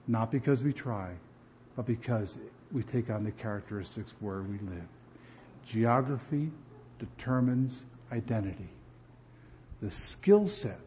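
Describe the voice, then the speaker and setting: A man from New Jersey preaching a sermon as pastor, animated and often repeating words as he builds emphasis. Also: medium pitch, lo-fi sound, a little hiss or hum.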